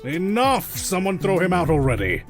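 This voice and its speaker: A man's voice: sits high, big pitch swings, moderate pace, faint music behind. A man playing a self-important, irritable inventor in an anime fandub, with a pompous delivery. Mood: angry. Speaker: amateur voice actor.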